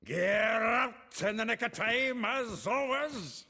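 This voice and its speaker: A man voicing a brash, hearty, foul-mouthed dwarf warrior in a video game, gravelly and boisterous, with colloquial turns of phrase. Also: extremely high pitch, expressive, slow, pushed and bright, heavily acted. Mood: jovial, hearty. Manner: boisterous, colloquial, colorful cursing. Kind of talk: video game cutscene acting. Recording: faint music behind the dialogue. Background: faint music.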